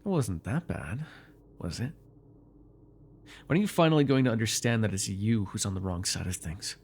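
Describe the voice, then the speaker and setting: A man acting a menacing, low-voiced supervillain. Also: lively intonation, gravelly, a little mellow, a little hiss or hum. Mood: controlled, commanding. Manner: taunting, commanding, deliberate. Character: menacing, controlling male supervillain, mocking and vengeful. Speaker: voice actor. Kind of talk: immersive ASMR roleplay acting.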